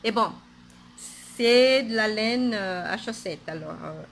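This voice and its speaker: Slow, bright-voiced Portuguese-accented woman. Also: mid-range voice, expressive, slight background noise. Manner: hesitant, many fillers and restarts, laughs often. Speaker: knitting podcaster. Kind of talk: casual knitting vlog.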